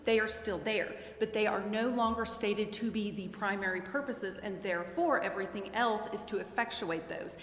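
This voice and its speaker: A woman giving a careful, deliberate and formal explanation as a legal advisor at a public council meeting. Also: mid-range voice. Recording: echoey hall, clear background noise.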